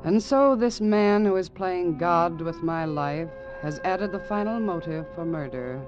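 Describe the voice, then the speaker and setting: An actor playing an earnest, doomed young man, confiding his story in measured, heartfelt vintage radio narration. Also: high-pitched. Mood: resigned, grave. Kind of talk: dramatic first-person narration, vintage radio. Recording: muffled vintage radio recording. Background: prominent dramatic music.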